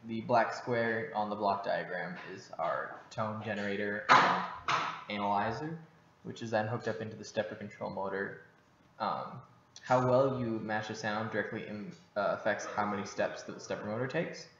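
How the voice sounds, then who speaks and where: Male student presenter, halting technical explanation, dull sound with clear background noise. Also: fairly low pitch, steady tone, bright, forceful tone. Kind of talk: technical project demo narration. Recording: noisy, dull, echoey room.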